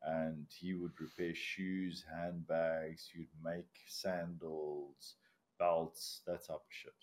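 A South African man, raised speaking Afrikaans, chatting as a podcast guest. His voice sits low, and he tells long, rambling stories with plenty of swearing and laughs. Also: level tone, takes it slowly, a little mellow. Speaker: podcast guest, commercial diver. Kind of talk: casual chat.

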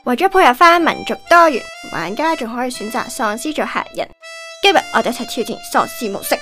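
A woman's voice: a little high, fast, close to an everyday voice. A woman playing a bubbly, bossy young daughter with a Hong Kong accent in a comic audio drama. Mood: playful presenting. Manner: excitable, exclamatory. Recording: music behind the voice.

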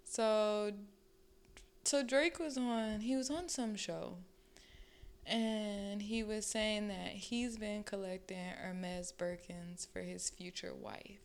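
A young woman co-hosting a casual chat, animated and laughing often as she mimics other people. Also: medium pitch, slow pace.

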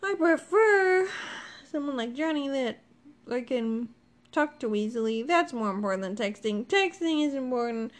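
A woman's voice: high-pitched, expressive, normal speed. A woman from Ohio co-hosting a casual podcast about her relationship, chatty and a bit defensive. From Ohio, USA. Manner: chatty, defensive, laughs often. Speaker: podcast co-host. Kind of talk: casual couple chat.

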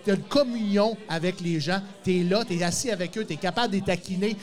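A man's voice: high-pitched, brisk, soft background music. A man with a Quebec French accent hosting a podcast, asking questions and complimenting his guest. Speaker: podcast host. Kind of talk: casual interview.

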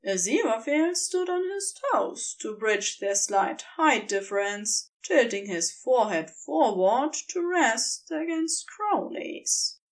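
A woman narrating a scripted story in a measured, expressive storytelling manner. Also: fairly high pitch.

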